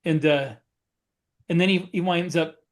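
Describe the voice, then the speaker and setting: A man co-hosting a casual panel chat, telling stories haltingly and correcting himself as he goes. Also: fairly high pitch, fairly even intonation. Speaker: podcast co-host, author.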